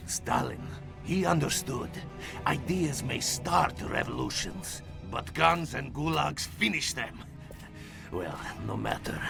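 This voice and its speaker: Very gravelly Russian villain. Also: male voice, on the high side, lively intonation, music in the background, heavily acted. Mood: sinister, scornful. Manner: deliberate, sinister. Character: cold, menacing male Soviet KGB commander. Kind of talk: video game villain acting.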